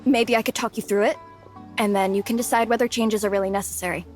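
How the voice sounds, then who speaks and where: A woman playing an overworked young female office worker with a buried temper in an animated game cutscene, earnest and emotive. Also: mid-range voice, fast, lightly acted. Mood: hesitant, resolute. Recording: music behind the voice.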